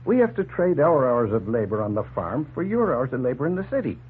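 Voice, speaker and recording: Guy playing a earnest farmer man explaining things, animated, narrow crackly 40s radio play sound. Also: medium pitch, brisk, natural voice. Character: hospitable, plain-spoken, earnest male Canadian farmer. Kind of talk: 1940s radio drama acting. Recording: tinny, humming 1940s radio recording.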